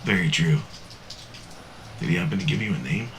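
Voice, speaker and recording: A man's voice: low-pitched, animated, a little fast, fairly bright tone, soft background music. A man playing a wary, street-smart cyberpunk drifter in an audio drama, terse and cautionary. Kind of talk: science fiction audio drama acting.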